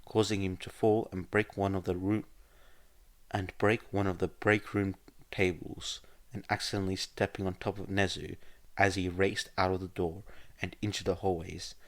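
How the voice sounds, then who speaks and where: Low-voiced man narrating a story. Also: little pitch movement, normal speed. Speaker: podfic narrator. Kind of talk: scripted narration of fan fiction.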